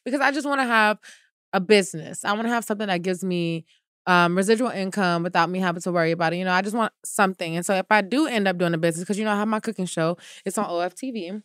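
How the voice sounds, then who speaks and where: A woman in her late twenties talks as a podcast guest, rambling and giggling as she goes. Her voice is smooth and clear. The close mic makes it sound dry, with no room sound. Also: medium pitch, racing, no room sound. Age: late 20s. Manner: chatty and giggly, rambling with fillers. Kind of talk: casual interview chat.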